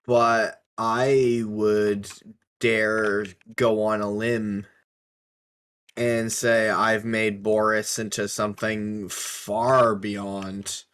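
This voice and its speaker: A man co-hosting a casual discussion, halting and careful as he chooses his words. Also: on the low side, flat delivery, a bit bright, smooth. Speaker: podcast co-host.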